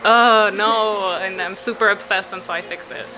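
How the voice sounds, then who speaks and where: Give me a young woman photographer talking casually in an interview, with clear music in the background. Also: mid-range voice, normal speed, rough recording. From Florida. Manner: relaxed, chatty, many fillers like "like" and "um". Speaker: photographer guest.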